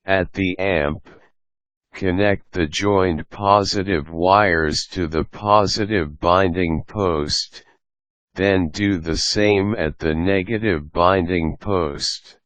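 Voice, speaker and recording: A man with a low voice narrates scripted instructions in a close, dry recording. He takes his time, delivering each step in a measured, orderly way. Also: fairly even intonation, a bit bright, no room sound. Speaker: tutorial narrator.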